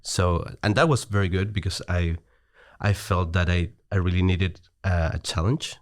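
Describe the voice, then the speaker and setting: A man's voice: low-pitched, steady tone, moderate pace, no room sound, clean studio sound. A middle-aged man from Chile with a Chilean Spanish accent, chatting as a podcast guest, hesitant with many restarts and easy laughter. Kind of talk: casual conversation.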